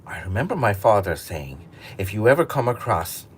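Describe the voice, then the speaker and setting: Soft polite shepherd lad a storyteller guy doing him, agreeable, a bit slow. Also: lowish voice, big pitch swings, slight background noise. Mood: mild, obliging. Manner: mild, agreeable. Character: meek, stubborn young male shepherd.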